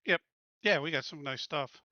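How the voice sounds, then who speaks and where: A man with a very bright, forceful voice, pitched a little high, chats casually as a tabletop player. The recording is dry and somewhat dull. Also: moderate pace, no echo. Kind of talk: casual game-table chat.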